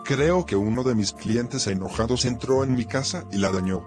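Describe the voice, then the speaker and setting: A man voicing a stern cartoon father who also narrates, speaking in a plain, unhurried way. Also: mid-range voice, over music, natural voice.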